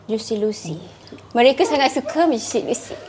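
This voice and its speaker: A young woman from Malaysia with a Malaysian accent, a guest singer-songwriter in a casual podcast chat, softly answering with short agreeing replies and hesitant fillers. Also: on the high side, lively intonation, normal speed, slight background noise. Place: small yellow-lit studio, indoors. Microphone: studio condenser mic on a stand.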